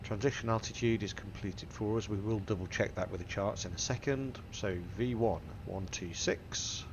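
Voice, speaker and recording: Low gravelly guy with a cold, stuffy nose, reading off cockpit settings one by one, plane hum behind. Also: normal speed, muffled recording. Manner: methodical, talks through each setting aloud. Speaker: flight sim tutorial host. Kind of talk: step-by-step cockpit walkthrough. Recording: simulator engine and cabin noise behind. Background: aircraft simulator hum.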